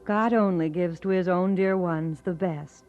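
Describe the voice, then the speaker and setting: A woman's voice: on the low side, unhurried, darkish tone, lightly acted. An actress playing a loving, devout older mother reading her letter in a radio drama, solemn and tender. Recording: dull vintage radio drama, faint music.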